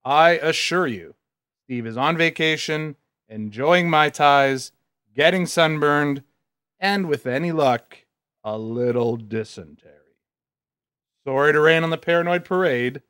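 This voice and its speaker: A sardonic talk radio host, acted by a man, with a fairly high, forceful voice. He is punchy and dismissive, recorded dry and close. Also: measured pace, smooth, no echo. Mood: sardonic, mocking. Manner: sarcastic, punchy.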